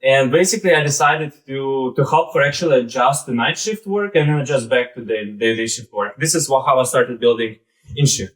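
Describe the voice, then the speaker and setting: A man in his thirties with a Belarusian accent gives an informal live presentation in a halting, conversational way, often saying "like" and "basically". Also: mid-range voice, a bit bright, poor audio. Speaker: presenter, startup founder.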